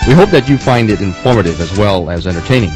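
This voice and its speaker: Guy doing a scripted promo voiceover, kinda low voice, guitar music behind him. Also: animated, fast. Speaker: promotional announcer. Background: plucked guitar music.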